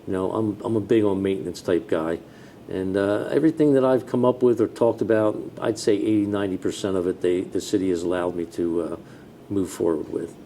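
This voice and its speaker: A middle-aged American man, a fire chief, answering interview questions in a plain-spoken, matter-of-fact, conversational way. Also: brisk. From New Jersey, United States. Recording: steady background noise with low rumble.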